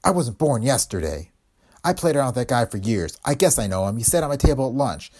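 A man voicing a tough, vengeful gangster's woman who talks in brassy slang, with a husky tone. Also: medium pitch, very animated, a little fast, darkish tone. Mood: defiant.

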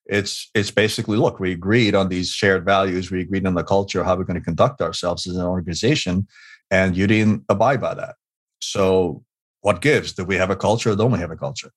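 Middle-aged man from Hungary, deep voice, dry close sound, earnest interview guest who circles back on his words. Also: steady tone, a little fast, slightly rough, no room sound. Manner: earnest, repeats words, frequent fillers. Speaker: guest, business author. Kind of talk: conversational interview storytelling.

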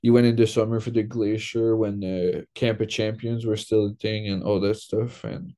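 A man's voice: lowish voice, flat delivery, moderate pace, mellow. A young man from Quebec, a guest in a casual interview, talking loosely with lots of fillers. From Quebec, Canada. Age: young adult.

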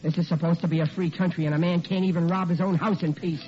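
An actor playing a bumbling, henpecked middle-aged working-class husband in 1940s radio comedy style, stammering and exasperated. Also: male voice, on the high side, fairly even intonation, fast talker, darkish tone, natural voice. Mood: aggrieved, desperate. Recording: muffled, noisy old radio recording.

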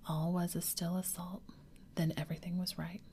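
Woman narrator, whispered ASMR storytelling, very low, gentle pacing. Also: flat delivery, a little hiss or hum. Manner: gentle, unhurried. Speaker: reader.

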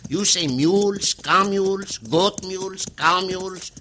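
An actor playing a simple, fearful, excitable Mexican burro driver in an old radio western, speaking exclamatory broken English with a Mexican accent. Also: male voice, high voice, measured pace. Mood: grumbling, simple. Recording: noisy vintage radio recording.

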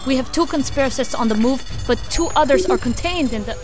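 An actress playing a woman reporting suspects' movements in urgent, rapid updates. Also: sits high, music in the background, natural voice.

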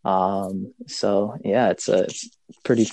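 A man from Kenya, a podcast guest, talking in a relaxed, easygoing way and pausing often to find his words. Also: low-pitched. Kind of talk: casual interview chat.

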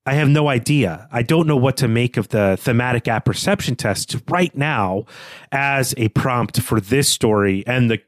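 Male podcast co-host, mid-pitched, casual book talk, feels his way through ideas, very dry recording. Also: moderate pace, no room sound. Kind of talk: literary discussion, casual chat.

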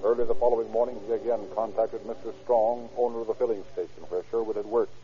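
An actor narrating a police case in old radio crime-drama style, formal, sober and deliberate. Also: male voice, on the low side, steady tone, slightly rough. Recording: narrow old radio recording, music behind.

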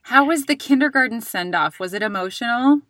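Female podcast host, very bright tone, dry close sound, chatty and encouraging interviewer. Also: fairly high pitch, animated, fairly quick, no room sound. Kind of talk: podcast intro and conversational interview.

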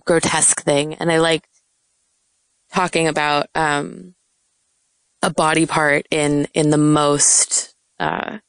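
Soft-spoken young woman, low voice. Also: vocal fry, no echo, low-quality recording. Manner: soft-spoken, hesitant, many fillers. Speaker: poet. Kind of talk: casual interview chat.

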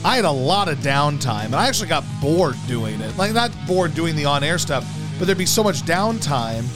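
A hoarse man hosting a casual talk show, rambling in a loose, profane way and doing live ad reads. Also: fairly high pitch, big pitch swings, normal speed, loud music behind the voice. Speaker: radio host. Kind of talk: casual podcast chat and live ad read.

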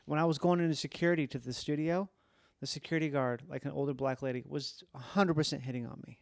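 A man's voice: on the high side, fairly even intonation, fast, vocal fry, no room sound. Casual male podcast host. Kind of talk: casual comedic chat.

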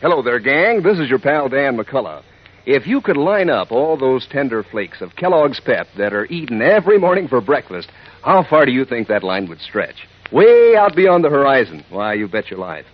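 Animated, husky male radio pitchman. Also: normal speed. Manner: folksy, enthusiastic sales patter. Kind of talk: 1940s radio commercial. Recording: muffled old radio recording.

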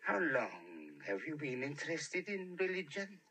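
A man's voice: a little high, sing-song, a little slow, pushed and bright, a little hiss or hum, full character voice. An actor playing a fussy, courteous male detective who asks precise questions.

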